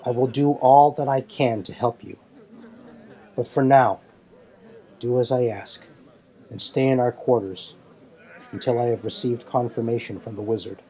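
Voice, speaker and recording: A man voicing a solemn, resolute warrior leader in a fan audio drama, speaking in formal, earnest, deliberate phrases. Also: medium pitch, fairly even intonation, mellow, breathy edge, natural voice, rough recording. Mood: earnest, apologetic.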